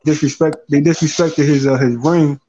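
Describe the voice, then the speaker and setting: A man's voice: steady tone, normal speed, dark tone. A man from Philadelphia, a guest on a live talk stream, rambling casually and often saying "you know what I'm saying". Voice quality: says he has a deep voice. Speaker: guest caller. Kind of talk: casual chat. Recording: dull lo-fi stream audio.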